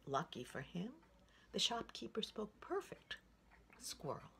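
A woman in her sixties telling a children's story in an expressive, playful, sing-song way. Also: medium pitch, measured pace, vocal fry. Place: indoors, room with wooden ceiling. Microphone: phone at arm's length. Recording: noisy home recording with room ambience.

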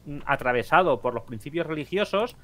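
A man's voice: fairly high pitch, animated, a little fast, sharp tone. A young man from explaining politics emphatically on a video call, acting out quotes and rhetorical questions. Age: young adult. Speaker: debate panelist. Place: room at home, video call.

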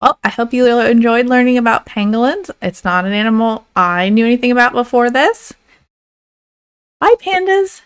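A woman's voice: on the high side, lively intonation, normal speed, smooth. A woman reading a picture book aloud and talking to children as their story reader. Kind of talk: read-aloud narration for children.

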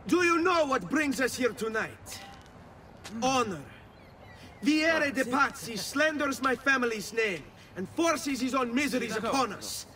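A man's voice: very high voice, animated, a little slow, bright, forceful tone, distant mic, heavily acted. An actor playing a cocky, hot-blooded young Italian nobleman with an Italian accent in a video game. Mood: rousing. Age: young adult. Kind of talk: video game cutscene acting.

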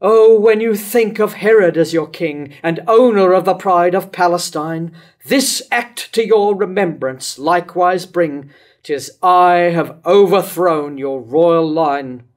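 An older man acting a grief-racked old king in a Jacobean verse tragedy. His voice sits high for a man and moves slowly, declaiming each measured line with remorse and bitter self-reproach. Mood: remorseful despair. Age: older, around 70. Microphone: lectern microphone.

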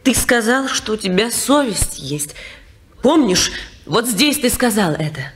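An actress playing a bitter, reproachful young wife in a 1950s radio play. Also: female voice, a little high, big pitch swings, measured pace. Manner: anguished, reproachful. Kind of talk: 1950s radio theatre acting.

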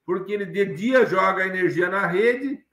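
High-voiced man lecturing over video call. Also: moderate pace, a bit bright. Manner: rambling, many fillers and restarts. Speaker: guest lecturer. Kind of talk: online lecture with slides. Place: home study with bookshelves. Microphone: webcam on video call. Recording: echoey room on video call.

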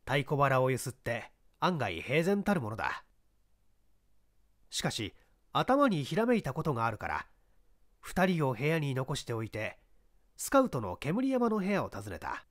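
A man's voice: on the high side, no room sound, clean studio sound. A man narrating a story as a scripted, dramatic audiobook reading.

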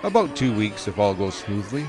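A man's voice: lowish voice, big pitch swings, normal speed, music in the background. An actor playing an easygoing young male starship pilot who talks in a casual, laid-back way in an audio drama.

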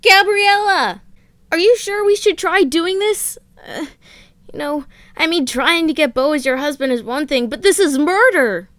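A woman playing a very high-voiced, timid sidekick. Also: expressive, measured pace, a bit bright, no echo, clean studio sound, exaggerated voice. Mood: nervous, reluctant. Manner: hesitant, stammering. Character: timid, hesitant sidekick with moral qualms. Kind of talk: audio drama acting.

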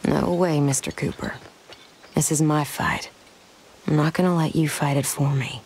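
Low-voiced, resolute frontier woman. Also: fairly even intonation, normal speed, noisy background. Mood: defiant. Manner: firm, resolute, wry. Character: determined, grieving young frontier woman rancher. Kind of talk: dramatic video game acting. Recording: music behind the voice.

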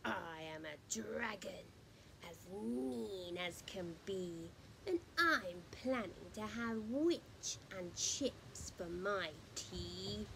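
A mean, hungry dragon from a storybook speaks in a low, breathy whisper, as a woman reading aloud puts him on. His words creep out slowly and menacingly, with a faint hiss of noise behind. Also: lively intonation, fairly bright tone, exaggerated voice, poor audio. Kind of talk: picture-book read-aloud.

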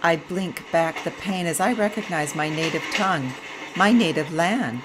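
A middle-aged woman reading a memoir excerpt aloud in a slow, reflective way. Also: fairly low pitch, noisy background. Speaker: vlogger and author. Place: train compartment.

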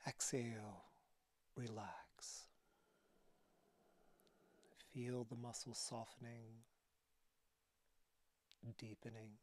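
A man leading a guided relaxation as a yoga teacher, speaking calmly and softly with breathy phrases and long pauses. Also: mid-range voice. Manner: calm, soothing instruction.

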